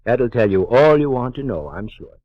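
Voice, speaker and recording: Actor as a matter-of-fact druggist, muffled old recording. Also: male voice, mid-range voice, lively intonation, moderate pace, over loud music, lightly acted. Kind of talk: 1940s radio drama acting. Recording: dull, dry 1940s radio recording.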